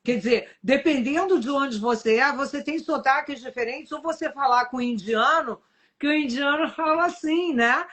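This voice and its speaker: Chatty high-voiced Brazilian woman host. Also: normal speed, a bit bright. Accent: Brazilian Portuguese. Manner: chatty, anecdotal. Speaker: live-stream host. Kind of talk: casual live-stream conversation.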